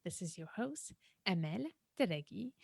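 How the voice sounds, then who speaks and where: A woman's voice: fairly low pitch, animated, normal speed, creaky, darkish tone, no room sound. Upbeat woman podcast host, dry sound. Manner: enthusiastic, effusive. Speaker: podcast host and business coach. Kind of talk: podcast interview.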